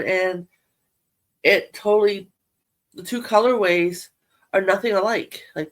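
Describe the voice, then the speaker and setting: A middle-aged woman, nasal from a head cold, chatting casually and rambling a little as she shows her knitting to viewers. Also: lowish voice. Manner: chatty, rambling, self-deprecating. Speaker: yarn crafting vlogger. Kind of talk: casual show-and-tell chat.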